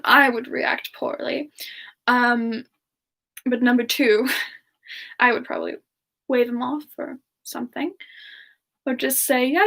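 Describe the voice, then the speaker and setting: A woman doing a semi-ASMR live question-and-answer chat in character, musing aloud in a halting, giggly manner. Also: high voice, fairly bright tone. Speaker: livestream host in character, answering viewer questions.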